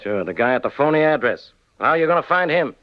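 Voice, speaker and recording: Blustering higher-voiced radio police inspector. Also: male voice, very animated, fast, no room sound. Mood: blunt, curious. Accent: American. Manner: gruff, bossy, blunt. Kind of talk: 1940s radio drama acting. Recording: dull, dry 1940s radio recording.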